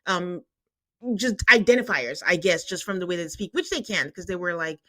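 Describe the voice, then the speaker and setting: A woman's voice: fairly low pitch, animated, moderate pace, sharp tone, no room sound. Woman podcast cohost, not a native english speaker, casual chatty, lots of um and like, dry close mic. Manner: chatty, laughs often, many fillers. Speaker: podcast co-host. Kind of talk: casual chat about a reality show.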